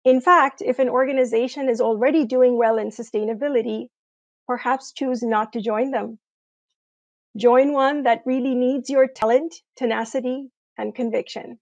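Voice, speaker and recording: A middle-aged woman giving a prepared, inspirational commencement address in a measured, earnest way with deliberate emphasis, speaking into an earphone mic indoors. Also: on the high side, steady tone, dry recording. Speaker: commencement keynote speaker, graduate of the school. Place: small room indoors, remote video recording. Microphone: wired earphones headset mic. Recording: dull, lo-fi video-call sound.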